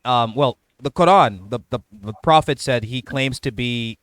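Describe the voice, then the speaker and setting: A Protestant man hosting a casual debate, talking in a steady, measured, explanatory way. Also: medium pitch, no room sound, clean studio sound.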